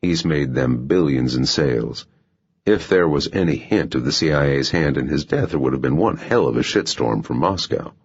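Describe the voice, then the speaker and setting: Guy doing a tired blunt agency man in an audiobook, really low husky voice, kinda dull recording. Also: expressive, moderate pace, exaggerated voice. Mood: matter-of-fact. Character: blunt, world-weary male American intelligence official. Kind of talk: audiobook character dialogue.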